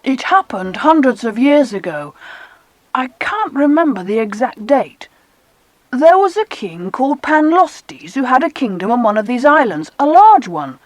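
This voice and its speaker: A woman voicing well-spoken, eager British children in a dramatized adventure audiobook, with expressive storytelling. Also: high-pitched, unhurried, no echo, rough recording.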